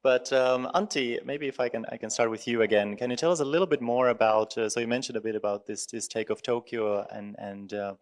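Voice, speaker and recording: A man's voice: mid-range voice, brisk, hardly any pauses. Easygoing man moderating a panel.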